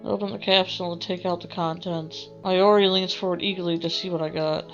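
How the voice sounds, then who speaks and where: A woman's voice: on the low side, steady tone, brisk, dull audio. A woman streaming a game, reading a visual novel aloud with informal, rambling asides. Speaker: gaming streamer. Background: game music.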